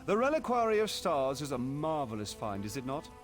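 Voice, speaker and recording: An excitable scholar of magic from a fantasy game. He talks in formal, wordy sentences with very wide swings of pitch. His manner is theatrical and eager, with music playing behind him. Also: brisk. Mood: excited. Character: excitable male mage scholar, eager and chatty. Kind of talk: video game character acting.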